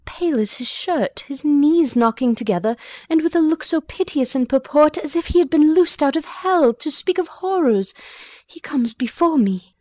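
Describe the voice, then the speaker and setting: Woman playing fearful young lady of court, high-pitched, earnest, troubled, classical stage verse, dry close recording. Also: animated, normal speed, dark tone, dry recording. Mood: frightened. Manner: earnest, distressed, theatrical. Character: frightened, obedient young noblewoman confiding in her father. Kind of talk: dramatic reading of a Shakespeare play.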